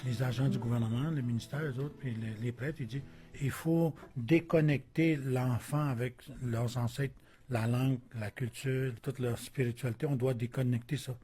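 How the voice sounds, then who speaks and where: A man in his sixties giving steady, plain-spoken testimony as a residential school survivor. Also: mid-range voice, moderate pace, faint music behind. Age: 60s.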